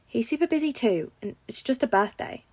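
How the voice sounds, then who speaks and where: A woman's voice: a little high, lively intonation, brisk, a little mellow, slightly rough, pitched above the performer's own voice. A woman voicing a shy, self-effacing teenage boy with a hesitant, defensive manner in a story reading.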